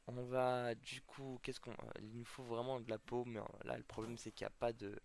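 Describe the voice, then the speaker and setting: A man's voice: mid-range voice, little pitch movement, measured pace, creaky, a little hiss or hum. A man with a slight cold chatting casually to viewers while he plays a video game, hesitant and full of fillers. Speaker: gameplay video host. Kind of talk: casual let's-play commentary to viewers.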